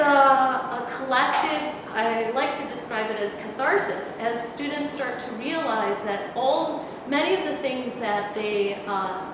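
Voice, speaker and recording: A middle-aged woman lectures in a lively, conversational way, projecting her fairly high voice across a conference room with no microphone. She is picked up from a distance, so her voice rings with a long hall reverb over clear background noise. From United States. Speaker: university professor giving a talk. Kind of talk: public lecture. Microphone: far camera, no microphone.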